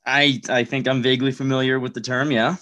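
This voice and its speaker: A man's voice: medium pitch, flat delivery, a little fast, a bit bright, highs cut off. A man hosting a comedy podcast as the straight man, speaking in a dry, hesitant, reluctant way. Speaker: podcast host. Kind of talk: deadpan comic straight man in podcast sketch.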